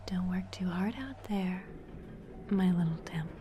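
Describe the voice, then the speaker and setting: A woman's voice: on the low side, a little slow, noisy background, natural voice. A woman whispering in an ASMR roleplay as a teasing, predatory female vampire office boss. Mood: smug. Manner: whispered throughout.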